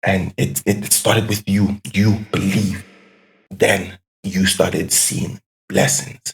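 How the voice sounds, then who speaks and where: A man with a fairly low voice talks in a casual, reflective podcast conversation. He is emphatic and questioning, often repeating short phrases as he makes his point. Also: level tone, slow pace, vocal fry, poor audio. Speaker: podcast guest.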